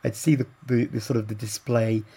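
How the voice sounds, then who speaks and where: A man, a guest telling stories in a relaxed conversation, getting animated during anecdotes. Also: mid-range voice, fairly quick, slightly rough. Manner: animated when telling anecdotes, laughs while talking. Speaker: guest, language learner. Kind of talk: conversational storytelling.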